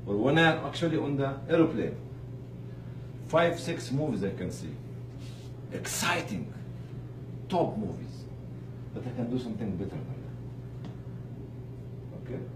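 Guy giving a motivational faith talk, really lively pitch, far from the mic with noise. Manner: emphatic, pausing, rhetorical questions to listeners. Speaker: motivational speaker addressing charity staff. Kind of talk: informal religious motivational talk. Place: room with live audience. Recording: distant mic, echoey room, clear noise.

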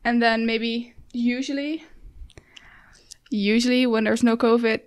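A young woman from the Netherlands in a casual podcast chat, relaxed and a little hesitant. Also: fairly high pitch, a little slow, clean tone.

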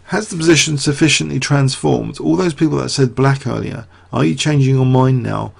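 Croaky man commentating on chess. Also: mid-range voice, normal speed. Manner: talks through moves, quizzes viewers. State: getting croaky. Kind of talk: live chess game commentary.

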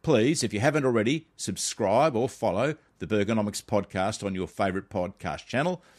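A middle-aged Australian man reading a scripted explainer as a podcast host, with measured, emphatic phrasing. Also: on the low side, animated.

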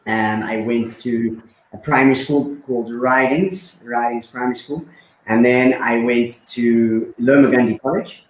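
A man's voice: mid-range voice, fairly even intonation, measured pace, echoey room, low-quality recording. A man in his thirties from Zimbabwe, a guest chatting casually with many fillers and repeats. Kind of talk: casual conversation.

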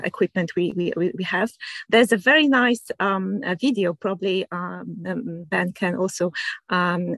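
Woman giving a slide talk on a call, lots of ums, slightly low voice, dry sound. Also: a bit bright, no echo. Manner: hesitant, many fillers and repeats. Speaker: university admissions officer. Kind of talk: presenting slides. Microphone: video call.

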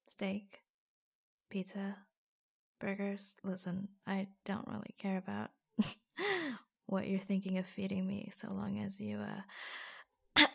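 A woman playing a flirtatious, teasing office lover in an intimate ASMR roleplay, breathy and husky, often dropping to a whisper. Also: medium pitch, bright, forceful tone, full character voice. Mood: playful, teasing. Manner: slow, coy, teasing, giggly. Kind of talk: ASMR roleplay, intimate romantic audio drama.

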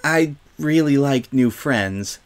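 A man voicing a young, prim pony girl who is defensive and vulnerable, speaking haltingly in a storybook. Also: mid-range voice, lively intonation, voice pushed up. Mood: hopeful, tearful. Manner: hesitant, halting. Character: haughty young filly turned sad, defensive, vulnerable.

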